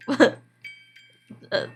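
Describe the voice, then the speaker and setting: A woman streamer reading a visual novel aloud in a playful, dramatic way, breaking off for casual asides. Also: very slow. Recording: music behind the voice. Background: game background music.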